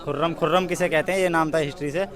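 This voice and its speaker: A man's voice: fairly high pitch, fairly even intonation, racing, a crowd in the background. A young man chatting casually as an exam candidate in an interview. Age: young adult. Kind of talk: casual chat. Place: outdoors on a street.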